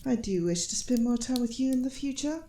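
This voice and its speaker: A gracious elf queen, voiced by a woman, regal and formal, with a mellow, fairly high voice. It is a fantasy ASMR roleplay, recorded with roomy echo. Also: lively intonation, a little fast. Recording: echoey room, faint noise.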